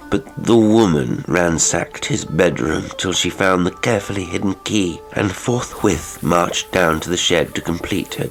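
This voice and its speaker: A man narrating a classic horror audiobook in a measured, literary, theatrical manner. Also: low-pitched, fairly bright tone. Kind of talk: dramatic horror story narration. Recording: music behind the voice.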